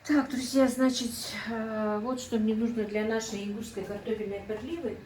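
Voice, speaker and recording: A woman's voice: a little high, steady tone, moderate pace, a little mellow, recorded from a distance. A middle-aged woman chats away at her home cooking, talking straight to her viewers as friends. Her voice comes from a little way off the mic in an echoey kitchen, with a faint hum underneath. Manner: chatty, keeps calling the viewers friends. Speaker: cooking vlogger. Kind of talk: casual cooking vlog.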